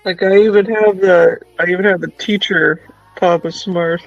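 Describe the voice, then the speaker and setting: A man in his thirties chatting on a stream about his toy collection, excited and rambling with lots of restarts. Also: high voice, normal speed, creaky, dry recording, highs cut off. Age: 30s. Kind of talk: casual chat.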